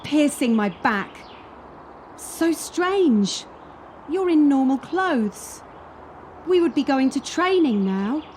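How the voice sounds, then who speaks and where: A woman's voice: sits high, sing-song, slow pace, pauses often, darkish tone, slight background noise, heavily acted. A young woman playing a wary, wounded gymnast in a radio play, with a wry, self-mocking and wistful manner. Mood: wistful, pained.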